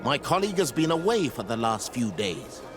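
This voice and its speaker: An actor playing a suspicious, zealous priest of an inquisitorial order in a video game, haughty and accusatory. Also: male voice, medium pitch, big pitch swings, moderate pace, music in the background, natural voice.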